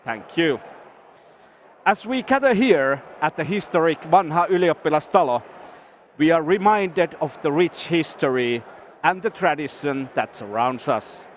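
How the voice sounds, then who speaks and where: A man's voice: fairly high pitch, a little hiss or hum. Finnish-accented man hosting an awards show. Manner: deliberate, self-deprecating. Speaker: awards ceremony host. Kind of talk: formal ceremony speech with jokes. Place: historic hall, live event.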